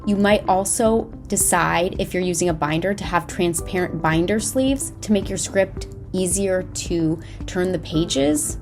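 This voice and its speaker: A woman narrating a scripted lesson as a drama instructor, measured, explanatory and carefully enunciated. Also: fairly low pitch. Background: background music.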